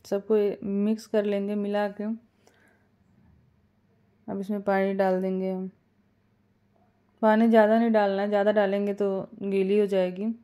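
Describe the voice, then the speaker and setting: A woman calmly talking through a home cooking recipe step by step, with small hesitations. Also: mid-range voice, a little slow, long pauses. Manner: calm step-by-step instructions with small fillers. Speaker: cooking channel host. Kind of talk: home cooking tutorial voice-over.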